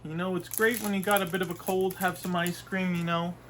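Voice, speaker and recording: A man's voice: high voice, flat delivery, fairly quick, a bit bright, slight background noise. A young man from Canada with a stuffy nose from a cold, chatting casually and rambling through a food review in his bedroom. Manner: rambling, chatty, with frequent fillers and restarts. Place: small bedroom, indoors.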